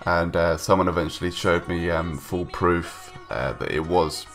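Young male gaming vlogger, very low voice, stuffed-up from a cold, hesitant rambling, faint music behind. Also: a little slow, a bit bright. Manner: rambling, hesitant, many ums and restarts. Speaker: gaming YouTuber. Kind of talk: casual voice-over commentary. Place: home room, recorded separately from gameplay.

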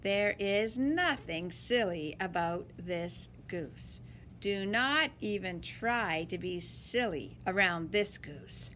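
A woman's voice: medium pitch, expressive, takes it slowly, a bit bright, a little hiss or hum. A woman reading a picture book aloud to children in a dramatic, emphatic and playful way. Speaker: story-time reader.

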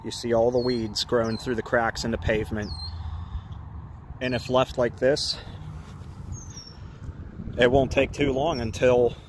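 A man vlogging casually as he walks around an empty building outdoors, chatty and quick to get indignant, with a phone camera's sound. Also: medium pitch, little pitch movement, a little hiss or hum. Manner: chatty, ranting, easily indignant. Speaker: urban explorer vlogger. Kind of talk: casual walk-around narration.

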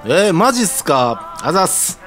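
A man's voice: high voice, big pitch swings, normal speed, fairly bright tone, faint music behind. An actor playing an earnest, deferential young man, talking in casual junior-style polite speech in an audio drama. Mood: eager. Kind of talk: scripted audio drama acting.

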